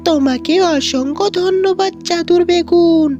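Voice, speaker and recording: Woman voicing a high, slow-talking fox husband. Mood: amazed, delighted. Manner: exclamatory, drawn-out. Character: hungry, easily amazed fox husband and father. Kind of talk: cartoon voice acting. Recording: music behind the voice.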